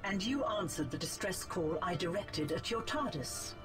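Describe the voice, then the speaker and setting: An imperious cyborg villainess from a video game, acted by a woman. She is formal and menacing, her pitch moving widely, over loud music. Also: animated, normal speed, lightly acted. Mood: cold, commanding. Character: cold, imperious female cyber slave villain. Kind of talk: video game cutscene acting.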